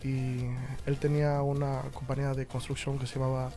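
A man in his twenties from Indianapolis, a band's lead singer, talking in an excited, slangy way in an interview. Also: medium pitch, brisk, soft background music. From Indianapolis, USA. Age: 20s. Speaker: band singer. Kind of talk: casual interview.

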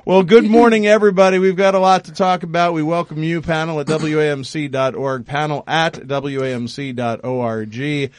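A man's voice: fairly high pitch, fairly even intonation, a little fast, few pauses, no echo. A man hosting a morning radio panel discussion, talking in a chatty, rambling and self-deprecating way. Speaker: radio panel show host.

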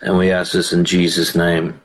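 Low-voiced older pastor hosting radio. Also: male voice, monotone, normal speed, rough recording. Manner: warm affirmations, fervent praying. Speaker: radio show host and pastor. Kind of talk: call-in radio with prayer.

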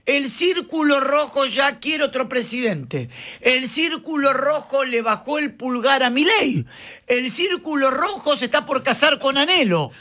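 A high-voiced Argentine man runs a casual radio show. He teases his co-hosts and fires off rhetorical questions in a playful, easy manner. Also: lively intonation, sharp tone. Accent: Argentine Spanish. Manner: playful, teasing, rapid-fire questions. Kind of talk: casual talk radio banter.

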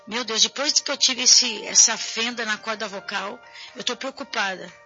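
Woman, Brazilian accent, hoarse, bright piercing tone, chatty TV host, faint music behind. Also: fairly high pitch, moderate pace, lo-fi sound. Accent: Brazilian Portuguese. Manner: chatty, addresses viewers directly. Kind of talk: casual talk show, reading viewer messages.

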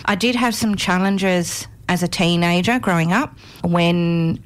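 A woman being interviewed in a radio studio, speaking in an earnest, measured way with frequent ums. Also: fairly low pitch. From Australia. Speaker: guest, commission chair.

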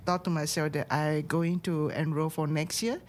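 Low-voiced woman, hesitant guest. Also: flat delivery, normal speed, slight background noise. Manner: hesitant, searching for words, laughs often. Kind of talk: casual chat.

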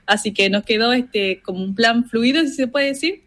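A young woman from northern Argentina with an Argentine accent, speaking as a relaxed interview guest, full of "este" fillers. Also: fairly high pitch, moderate pace. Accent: Argentine Spanish. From Argentina, Salta, Tartagal. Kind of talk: casual interview by call. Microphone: video call.

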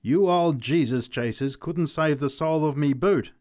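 A man's voice: medium pitch, normal speed, pitched above the performer's own voice, natural voice. A man voicing an irreverent, argumentative drunk man in a storybook, heckling flippantly. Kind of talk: storybook character voice.

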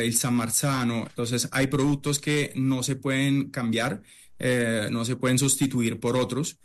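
A middle-aged man from Italy, a chef, answering interview questions in a halting way, full of fillers and restarts. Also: medium pitch. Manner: hesitant, many fillers and restarts.

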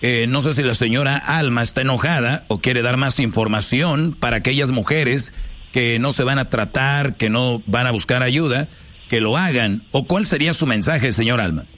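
A man's voice: mid-range voice, moderate pace, a bit bright. Male talk host for a phone-in program: opinionated, informal, street-slangy and direct, ready to push back. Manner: blunt, confrontational, slangy. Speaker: talk show host taking calls.

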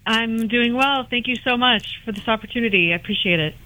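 A woman's voice: a little high, brisk, bright, forceful tone. Chatty woman guest on a phone line. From California. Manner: friendly, chatty, many fillers. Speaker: phone-in guest, children's book author. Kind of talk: casual interview chat.

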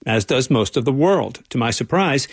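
A man's voice: medium pitch, animated, moderate pace, no echo, studio quality. A man narrating a radio feature as a correspondent, in a wry, playful way.

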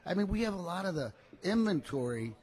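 Husky, higher-voiced male car dealer. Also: sing-song, a little fast, a little mellow. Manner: folksy, repeats phrases for emphasis. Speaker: car dealer, radio show regular. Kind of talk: unscripted live sales pitch.

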